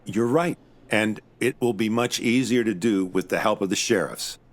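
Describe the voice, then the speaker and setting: An actor playing a calm, level-headed investigator who also narrates an audio drama, with a measured delivery. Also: male voice, fairly low pitch, natural voice. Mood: firm.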